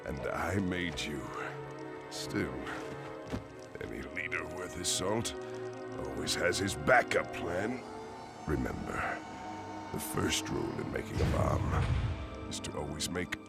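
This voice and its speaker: An actor playing a dying, grimly defiant military tyrant in a video game, labored and gloating. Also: male voice, low voice, big pitch swings, takes it slowly, creaky, pauses often, full character voice. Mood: grim defiance. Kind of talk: video game villain acting. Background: loud dramatic game music.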